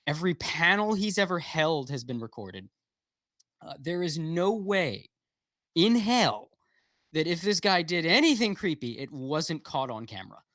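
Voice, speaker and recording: A man talking in a slightly high voice, blunt and rambling, full of ums and restarts, with an occasional swear. He comes through a video call with a dry sound and almost no room echo. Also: a bit bright. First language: English. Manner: rambling, frequent fillers and restarts, blunt with profanity. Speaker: guest. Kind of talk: casual livestream chat.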